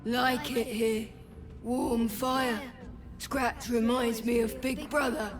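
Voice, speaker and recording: Young game beast: innocent and simple, highish voice, broken childlike phrases, music underneath. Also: female voice, little pitch movement, takes it slowly. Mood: wistful. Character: young owlbear cub, simple, vulnerable, trusting. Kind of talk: video game dramatic acting.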